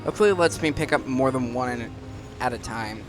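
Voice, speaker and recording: A man's voice: medium pitch, fairly quick. A man giving casual commentary as the host of a gaming video. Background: game music.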